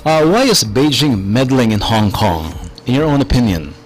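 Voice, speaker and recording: A man's voice: mid-range voice, very animated, normal speed. A man hosting a live radio interview, putting questions to a guest in a conversational way and often repeating himself.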